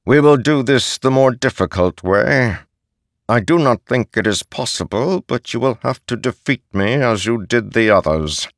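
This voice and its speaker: A man's voice: medium pitch, expressive, dry recording, clean studio sound, pitched above the performer's own voice, lightly acted. Man voicing a cold, commanding immortal herald. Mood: coldly commanding. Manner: measured, cold, imperious. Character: solemn immortal male herald, calm and commanding.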